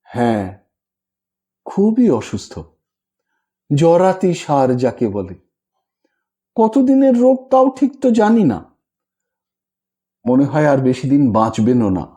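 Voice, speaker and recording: A man voicing a gentle, remorseful woman renunciate in an audio story, confessing in a weary, halting, reflective way. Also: fairly high pitch, wildly swooping pitch, pitched below the performer's own voice, full character voice. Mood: grave, resigned.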